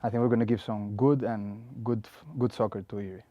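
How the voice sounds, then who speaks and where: A man's voice: on the low side, flat delivery, brisk, dry recording, muffled recording. A young man from Spain, answering interview questions as a head coach in a plain, earnest way with frequent "uh" and "you know". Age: young adult.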